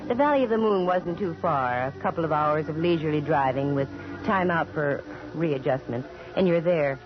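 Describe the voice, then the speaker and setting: An American actress playing a wry, sharp-tongued female private investigator in 1940s radio style, delivering dry wisecracks and narration. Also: low voice, close to an everyday voice. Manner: dry, sardonic, quick with wisecracks. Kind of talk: 1940s radio acting with first-person narration. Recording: muffled vintage radio broadcast with music.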